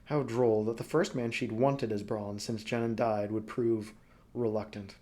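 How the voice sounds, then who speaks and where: A man narrating a story as an audiobook reader, expressive and dramatic, phrasing deliberately. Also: lowish voice.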